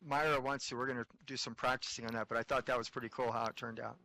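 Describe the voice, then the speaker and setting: A young man chatting casually about the script he typed up, easygoing and quick to laugh. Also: medium pitch, flat delivery, sharp tone, rough recording. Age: young adult. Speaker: script typist and reader. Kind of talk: casual chat.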